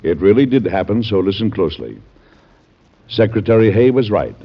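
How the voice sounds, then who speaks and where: Dignified, warm radio-drama president, very low measured voice, dull vintage sound. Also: male voice, animated, slightly rough. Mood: measured storytelling. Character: warm, dignified male president recounting his story. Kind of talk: 1940s radio drama acting and narration. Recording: muffled old radio recording.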